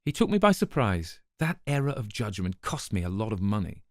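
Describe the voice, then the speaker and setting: A man's voice: lowish voice, sing-song, brisk, no echo, clean studio sound. A man reading a storybook part as a callous, self-justifying spymaster who speaks in a formal, disdainful, precise way. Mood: cold, defensive. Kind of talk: dramatized audiobook reading.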